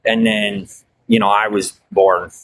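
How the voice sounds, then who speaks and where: A man's voice: high voice, huge pitch swings, slow, gravelly, a bit bright, poor audio. Rambling American man, faint hiss. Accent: Norwegian-tinged American. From United States. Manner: rambling, many fillers and restarts. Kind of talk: casual monologue.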